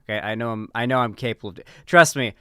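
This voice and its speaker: Smooth-voiced man chatting casually on stream. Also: mid-range voice, racing, sharp tone, dry recording, clean studio sound. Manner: informal, chatty. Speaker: livestream host. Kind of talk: casual livestream commentary. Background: background guitar music.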